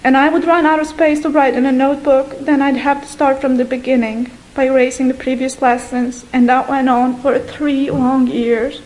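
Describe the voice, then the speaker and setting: High-pitched young woman from Bosnia, earnest testimony, slow with long pauses. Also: flat delivery, darkish tone, low-quality recording. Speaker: guest giving a testimony.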